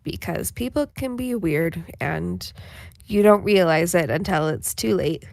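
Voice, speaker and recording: A young woman speaks very slowly in a slightly low, gravelly-edged voice, recorded dry and close. She sounds candid and reflective, pausing to think aloud in casual panel talk. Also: darkish tone, no room sound. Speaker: voice actor and podcast panelist.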